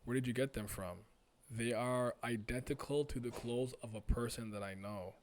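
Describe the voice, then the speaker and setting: A man's voice: medium pitch, normal speed, slight background noise, lightly acted. A man voicing a suspicious test participant from a comic, probing and incredulous in a blunt way. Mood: curious, probing.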